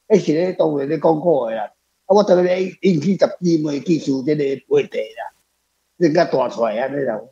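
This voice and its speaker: A man's voice: on the high side, warm tone, breathy edge, lo-fi sound. A man with a Taiwanese accent chatting off the cuff as a guest author, rambling through anecdotes and repeating his phrases. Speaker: author guest answering questions. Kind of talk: unscripted conversational talk.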